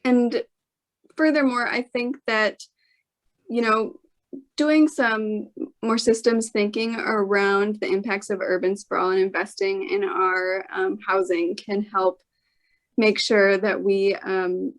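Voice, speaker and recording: A woman speaking off the cuff as a political candidate at a forum, careful in her wording and often pausing to rephrase. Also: mid-range voice. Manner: careful, hedging, pauses to reword.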